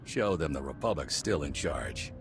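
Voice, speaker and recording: An earnest male security officer in a video game. His voice is deep and determined, heard over clear vehicle rumble. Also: animated, moderate pace, heavily acted. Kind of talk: scripted video game dialogue.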